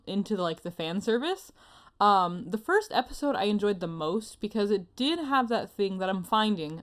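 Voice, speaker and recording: A woman co-hosting a podcast in casual chat, with a giggly, chatty manner. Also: mid-range voice. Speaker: podcast co-host.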